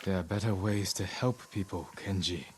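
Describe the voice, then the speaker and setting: An actor playing a stern, honorable samurai lord in a video game cutscene, terse, grave and weary. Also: male voice, low-pitched, normal speed, a little hiss or hum, close to an everyday voice. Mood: reproving. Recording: music behind the voice.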